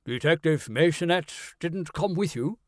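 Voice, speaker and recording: A man's voice: on the high side, animated, measured pace, no room sound, studio quality. A man voicing a grateful, curious aristocratic earl in an audiobook, with a courteous, formal manner. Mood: eager. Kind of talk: audiobook character acting.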